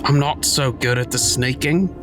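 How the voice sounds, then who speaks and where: A man's voice: medium pitch, moderate pace. A man improvising in a tabletop roleplaying game in a casual, tentative way. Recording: music behind the voice.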